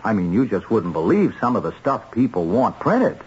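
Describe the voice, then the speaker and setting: On a dull old radio recording, an evasive, bullying small-time counterfeiter. His medium-pitched voice moves around a lot as he blusters in folksy, halting speech. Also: very animated, a little mellow, breathy edge, full character voice. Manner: folksy, blustering, stammering under pressure. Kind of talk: vintage radio drama acting.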